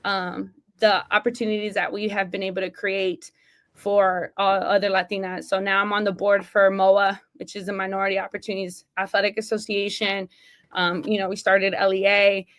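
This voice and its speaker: A woman's voice: medium pitch, little pitch movement, normal speed, fairly bright tone. A woman from Mexico speaks as a podcast guest, earnest and emphatic. She talks in a mid-range voice, with a loose conversational flow full of "like" and "right?". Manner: single mom. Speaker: associate athletic director, guest. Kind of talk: casual interview talk.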